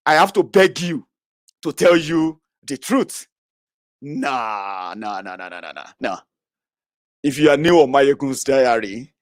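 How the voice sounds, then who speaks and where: Man, 30s, blunt profane rant, loud then muttering, dry close sound. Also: fairly high pitch, dry recording. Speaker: online political commentator. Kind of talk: ranting monologue to followers.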